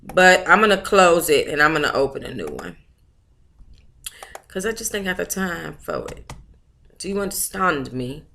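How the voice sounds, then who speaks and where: A woman's voice: fairly low pitch, sing-song, normal speed, creaky, long pauses, sharp tone, slight background noise. An American woman hosting a book club livestream, talking in a casual, chatty, slangy way with familiar endearments.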